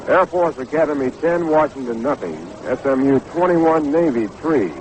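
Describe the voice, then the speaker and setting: A man's voice: a little high, lively intonation, moderate pace, a little mellow. An American man doing 1960s radio baseball play-by-play as the announcer. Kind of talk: 1960s radio sports commentary. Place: stadium broadcast booth. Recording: noisy 1960s AM radio broadcast.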